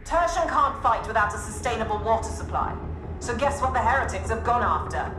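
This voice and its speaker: An actress playing a stern, wry military commander briefing a mission in a video game, commanding in manner. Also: female voice, a little high, animated, a little fast, music in the background, lightly acted. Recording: echoey, loud vehicle noise behind.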